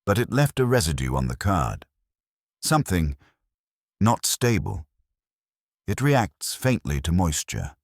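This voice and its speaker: A man voicing a precise, cautious male chef who explains his findings in a measured way. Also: sits low, big pitch swings, dry recording, studio quality, heavily acted.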